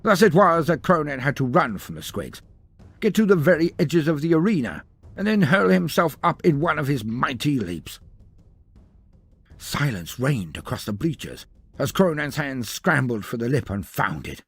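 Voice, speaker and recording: Male, husky voice, very varied intonation, theatrical fantasy narration, dramatic pauses, faint backing music. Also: fairly high pitch, very animated. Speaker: story narrator. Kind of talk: dramatic scripted narration of fantasy battle fiction.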